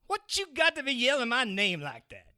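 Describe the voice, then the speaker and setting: Actor as crude street lowlife, animated, forceful, slangy, aggressive, audio drama. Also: male voice, fairly quick, clean tone, exaggerated voice. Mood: curious, dismissive. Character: crude, leering, bullying male street drifter.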